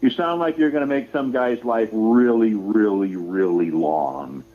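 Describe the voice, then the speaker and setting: A man's voice: lowish voice, a little slow. A man arguing on a live phone-in, combative and insulting, insistently repeating himself. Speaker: caller to a livestream show. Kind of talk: argumentative live phone-in banter. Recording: tinny phone-like livestream call.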